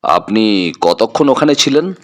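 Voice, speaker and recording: A man's voice: lowish voice, lively intonation, measured pace, pitched below the performer's own voice. Man playing formal, probing police inspector. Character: polite, methodical male police inspector questioning people. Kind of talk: audio drama acting.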